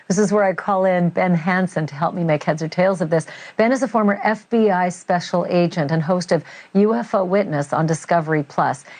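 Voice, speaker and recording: A woman's voice: on the low side, a little mellow. A woman hosting a news interview in a conversational style, putting questions to a guest.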